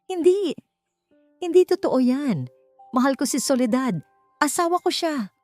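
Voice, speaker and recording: A woman's voice: high voice, animated, a little slow, a little mellow, dry recording, soft background music, pitched above the performer's own voice. Woman acting a scheming, dramatic husband in an audio drama. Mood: desperate, pleading. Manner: falsely sweet, pressing, dramatic. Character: smug, greedy husband in jail, turning desperate and pleading.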